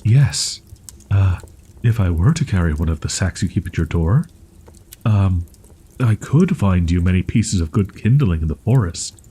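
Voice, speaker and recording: A man playing a proud, wounded young wolf-boy in an audio roleplay, stammering in archaic, formal phrasing with a husky, breathy voice. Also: deep voice, animated, a little slow, dark tone, exaggerated voice. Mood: timid, doubtful. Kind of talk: roleplay audio drama, ASMR.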